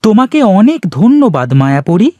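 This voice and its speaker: A man's voice: sits high, very animated, a little fast, music in the background, voice pushed up. A man voicing an eager, earnest young farm boy in a children's fairy tale cartoon. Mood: earnest, excited.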